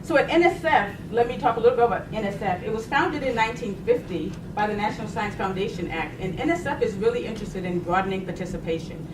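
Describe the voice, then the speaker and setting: A woman's voice: mid-range voice, animated, fast, hardly any pauses. A middle-aged woman from Boston speaking on a panel in a chatty way, laughing as she tells stories. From Boston, United States. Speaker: panelist, science agency program director. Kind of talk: conversational panel talk. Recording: echoey room, distant mic, noisy.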